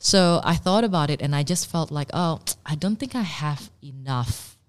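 A woman, telling her story in a casual interview, animated and full of fillers and restarts. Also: deep voice, normal speed. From Indonesia. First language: Indonesian. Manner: animated, many fillers and restarts, laughs readily. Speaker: pastry chef and business owner.

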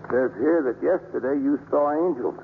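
An actor playing a plain-spoken baseball manager, gruff but softening, in a 1950s radio drama. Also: male voice, mid-range voice, normal speed, lightly acted. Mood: curious, softening. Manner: blunt, colloquial, hesitant and polite with the nun. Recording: tinny, noisy old radio broadcast.

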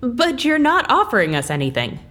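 A woman's voice: fairly high pitch, wildly swooping pitch, brisk, fairly bright tone. An actress playing a brash, sceptical woman in an audio drama, blunt and slangy. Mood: incredulous. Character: brash, sceptical woman facing an eccentric client.

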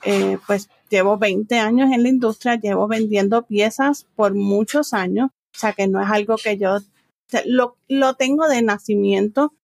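A middle-aged woman with a Puerto Rican accent talks as an interview guest. Her voice sits at a middle pitch with plenty of creak. The answers are conversational, and the sound is dry and close. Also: normal speed, darkish tone, no room sound. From Puerto Rico. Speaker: interview guest, business owner and trainer.

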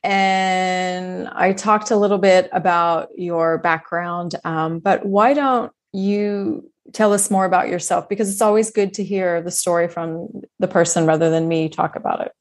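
Podcast host lady, kinda low voice, earnest chatty intro and questions. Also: fairly even intonation, measured pace, hardly any pauses. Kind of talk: podcast interview.

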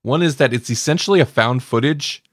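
A man co-hosting a podcast, talking casually and with an amused, animated manner. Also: medium pitch, fairly quick, no echo, studio quality. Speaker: podcast co-host reviewing audio dramas. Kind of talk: casual chat.

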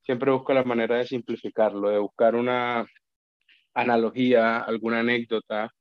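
A man's voice: medium pitch, flat delivery, normal speed, clean tone, dry recording. Colombian man, dull dry sound. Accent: Colombian Spanish. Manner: reflective, many restarts and repeated words. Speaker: guest, account manager. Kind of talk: casual podcast conversation.

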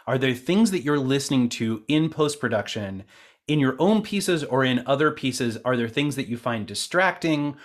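A man interviewing in a conversational way, talking reflectively and explaining things at length. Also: mid-range voice. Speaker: interviewer and co-producer, theater actor.

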